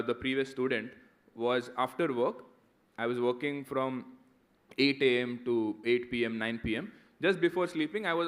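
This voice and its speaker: A man's voice: medium pitch, a bit bright. A man around thirty, a guest speaker answering student questions in a conversational way, often tagging "right?". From India. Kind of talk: motivational talk and Q&A.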